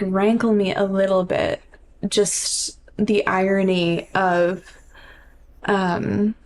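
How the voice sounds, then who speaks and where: A woman's voice: medium pitch, a little mellow, a little hiss or hum. A woman co-hosting a podcast in casual chat, hesitant and often restarting her thoughts midway. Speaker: podcast co-host.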